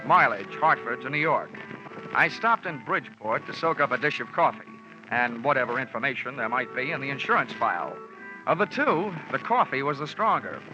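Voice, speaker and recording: A sardonic male private investigator played by a radio actor in a 1940s detective show, delivering dry quips in a mid-pitched voice. The sound is dull old radio with music clearly behind it. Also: animated, a little fast, natural voice. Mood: dry narration. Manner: sardonic, quippy. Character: wisecracking, cynical freelance male insurance investigator. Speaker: radio drama lead and narrator.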